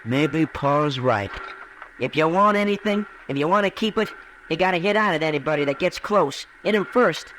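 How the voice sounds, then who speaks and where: Distraught young farm lad, highish voice, rustic and halting; radio western with faint hiss. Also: male voice, lively intonation, fairly bright tone, rough recording. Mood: bitter, anguished. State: dazed, distraught. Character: anguished, heartbroken young frontier farm lad. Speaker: actor. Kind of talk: 1950s radio western acting. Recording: old radio broadcast, faint noise.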